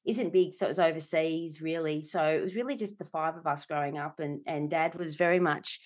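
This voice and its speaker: A middle-aged Australian woman from Melbourne, chatting warmly as an interview guest. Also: deep voice, a little fast. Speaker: guest, company director. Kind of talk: conversational interview.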